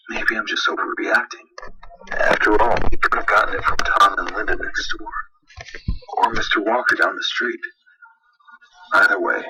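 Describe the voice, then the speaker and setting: A man's voice: sits low, heavy background noise. A man reading a scripted first-person horror story as narrator, with dramatic, suspenseful delivery.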